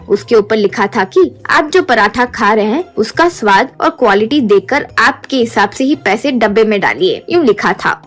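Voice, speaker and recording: Cartoon storybook narrator, woman, steady delivery, light music bed. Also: fairly high pitch.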